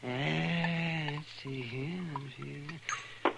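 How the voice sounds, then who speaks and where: Creaky, crusty frontier doctor. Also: male voice, a little high, steady tone, takes it slowly, a bit bright, full character voice. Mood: somber. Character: crusty, rambling frontier town doctor, male. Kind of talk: 1950s western radio drama acting. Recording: 1950s radio recording, faint noise.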